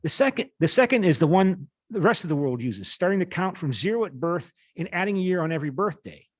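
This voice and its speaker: Higher-pitched man in his fifties, lecturing. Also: big pitch swings, fast talker, dry recording. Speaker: university lecturer. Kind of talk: lecture, reading an article aloud with asides.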